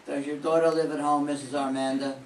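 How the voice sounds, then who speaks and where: A man's voice: fairly high pitch, level tone, a little fast, natural voice. An older man playing a blunt, persistent private detective in a radio drama, questioning in a clipped way. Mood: probing. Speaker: amateur radio drama performer. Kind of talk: live reading of a 1940s radio detective drama. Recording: echoey room, distant mic, faint noise.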